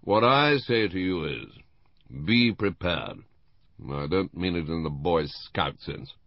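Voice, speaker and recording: A male reader acts out an older British colonel in an audiobook, gruff and avuncular. He teases and probes bluntly, with emphatic delivery. The sound is a bit muffled. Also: extremely low pitch, fairly even intonation, unhurried, no echo, full character voice. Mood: teasing.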